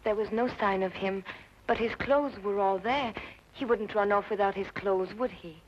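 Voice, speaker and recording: A woman's voice: mid-range voice, normal speed, close to an everyday voice. A woman playing a stubborn, prim, lovestruck young lady in an old radio drama. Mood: insistent, defensive. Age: young adult. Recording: dull old radio recording, faint noise.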